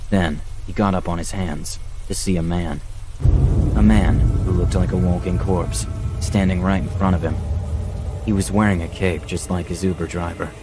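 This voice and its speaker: Low-voiced man narrating horror stories. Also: fairly even intonation, normal speed, music in the background. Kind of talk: scripted horror story narration.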